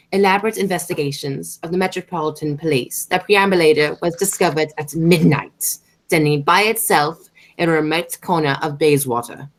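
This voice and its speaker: A woman's voice: fairly low pitch, lightly acted. A woman playing a stern, imperious aristocratic lady in a radio play, speaking in a haughty, commanding, formal way.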